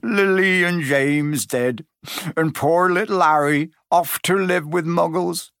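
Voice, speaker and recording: A man reading aloud and voicing a huge, gruff but soft-hearted man who talks in a choked, tearful way. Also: on the high side, takes it slowly, no echo, studio quality, voice pushed up. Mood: sobbing. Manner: choked and sobbing. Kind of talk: audiobook character reading.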